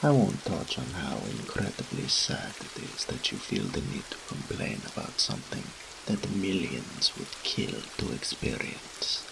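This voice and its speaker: A man's voice: very deep voice, big pitch swings, a little slow, vocal fry, hardly any pauses, background noise. A man voicing a smug, seductive demon incubus in a roleplay audio drama, with a teasing, haughty, theatrical drawl.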